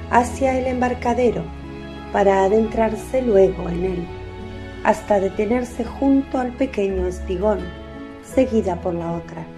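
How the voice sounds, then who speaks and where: A woman narrating a religious radio drama from a script. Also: mid-range voice. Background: faint music.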